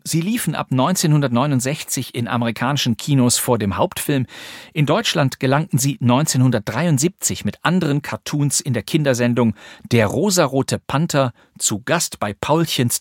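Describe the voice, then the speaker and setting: A man's voice: mid-range voice, lively intonation, moderate pace, no echo. Dry-sounding man hosting a scripted podcast. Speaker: podcast host. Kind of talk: scripted, conversational narration. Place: dry studio.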